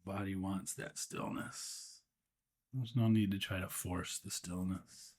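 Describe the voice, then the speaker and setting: A man leading a guided meditation as the guide, speaking gently and without hurry, often pausing mid-sentence. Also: low voice, steady tone.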